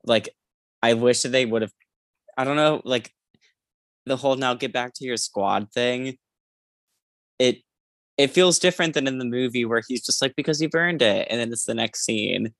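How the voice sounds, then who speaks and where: A man's voice: medium pitch, moderate pace, pauses often, a bit bright, dry recording. A man co-hosting a podcast, chatting casually about a book in a rambling, hesitant way full of filler words. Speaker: podcast co-host. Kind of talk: casual book discussion chat.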